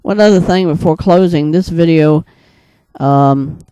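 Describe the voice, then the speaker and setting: A man casually telling an unscripted story to viewers as a video creator, rambling and often halting mid-thought. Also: on the high side, mellow, clear, resonant tone. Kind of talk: casual unscripted monologue recounting a dream.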